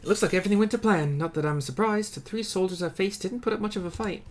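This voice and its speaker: A man's voice: high-pitched, fast, voice pushed up, close to an everyday voice. A man voicing a battle-hardened woman fighter from a game, stern and resolute, with a firm delivery and a shifting put-on accent. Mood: grim, resolute. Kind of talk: voiced game dialogue read aloud.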